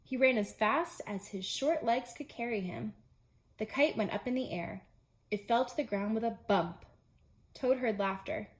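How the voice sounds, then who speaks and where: A woman's voice: fairly high pitch, normal speed. A woman preschool teacher reading a storybook aloud to young children, addressing them directly with simple explanations.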